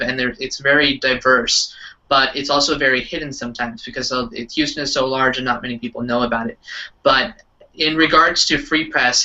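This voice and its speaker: Guy doing a casual interview, really bright sharp voice, kinda far from mic and muffled. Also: mid-range voice. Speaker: interviewer hosting a band hangout. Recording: distant, dull webcam-style sound.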